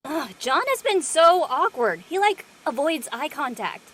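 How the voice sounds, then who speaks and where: An actress playing a brash, outspoken teenage girl in a casual, slangy, expressive drama performance. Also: sits high, a little slow, exaggerated voice. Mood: awkward, dismissive. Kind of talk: casual scripted drama dialogue.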